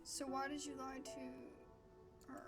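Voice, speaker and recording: A woman's voice: high-pitched, completely flat delivery, slow pace. A woman gaming streamer giving casual, informal reaction commentary over a game in conversational asides. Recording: clear music behind the voice.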